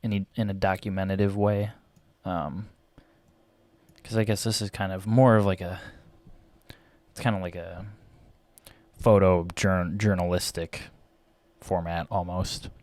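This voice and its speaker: Young male vlogger, low voice, slightly raspy, halting casual ramble, dry close desk mic. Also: level tone, a little mellow, dry recording. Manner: hesitant, many fillers and restarts, clears throat often. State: voice getting raspy. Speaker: photographer and vlogger. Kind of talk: casual rambling commentary while photo editing.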